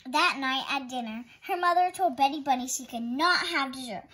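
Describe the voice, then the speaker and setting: High-voiced woman reading a children's story. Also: normal speed, pushed and bright. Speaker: storytime host reading aloud. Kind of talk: expressive children's picture book reading.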